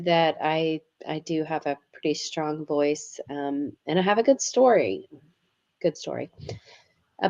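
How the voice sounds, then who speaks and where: A woman's voice: sits low, darkish tone, lo-fi sound. An earnest woman guest talking about her experiences, often repeating words as she starts a phrase. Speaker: guest, yoga teacher and author. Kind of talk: casual interview conversation.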